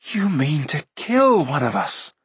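A man's voice: a little high, moderate pace, breathy edge, dry recording, voice pushed up. A man playing an earnest, anxious young hero in a fantasy audiobook, speaking urgently. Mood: horrified. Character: earnest young male hero, anxious but trying patience.

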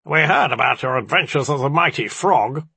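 A man's voice: medium pitch, animated, a little slow, husky, no echo, exaggerated voice. A man voicing a spiny rat-spirit in a storybook, sly, mocking and darkly gloating. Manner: chuckling, gloating, dark.

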